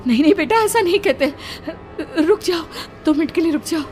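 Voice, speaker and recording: A woman's voice: extremely high pitch, animated, brisk, a little mellow, faint music behind, pitched above the performer's own voice. A woman voicing an anxious, protective mother in a melodramatic horror cartoon, speaking with urgent emotion. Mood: desperate pleading.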